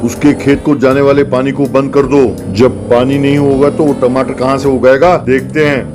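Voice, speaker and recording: A man playing a pompous, mocking, domineering village headman in an animated comedy story. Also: medium pitch, normal speed, over music, close to an everyday voice. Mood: vengeful. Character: haughty village headman, scornful, domineering older bigwig.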